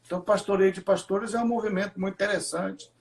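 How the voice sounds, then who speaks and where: A man's voice: high-pitched, fairly even intonation, fast, creaky. A man, a pastor joining a casual livestream as a guest over a video call, joking and teasing.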